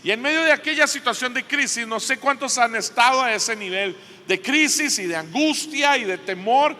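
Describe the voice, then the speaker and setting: A man with a Costa Rican Spanish accent preaching to a conference in an emphatic way, with deliberate pauses. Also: very high-pitched, bright, forceful tone. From Costa Rica. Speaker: guest preacher at a church conference. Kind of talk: sermon with scripture reading and prayer. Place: large indoor church conference hall. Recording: long hall reverb from a PA system.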